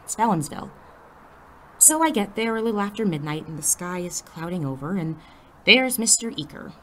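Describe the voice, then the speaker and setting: A woman's voice: lowish voice, very animated, normal speed, a little hiss or hum, low-quality recording. A woman playing a wary, sarcastic teenage schoolgirl pulled into superhero business, blunt and skeptical, in an audio drama. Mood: wry narrating. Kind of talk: audio drama acting with first-person narration.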